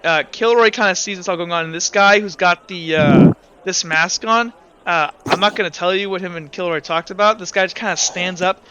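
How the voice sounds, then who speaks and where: A man narrating a tabletop game as game master, halting often with fillers while he describes the scene. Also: sits high, pushed and bright, slight background noise. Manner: rambling, halting with many fillers. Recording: dull lo-fi mic.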